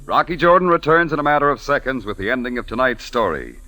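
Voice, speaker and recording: A man's voice: mid-range voice, animated, fast talker. A man working as a network announcer, reading scripted copy in a formal, polished old-time radio style. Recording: old radio recording with music behind.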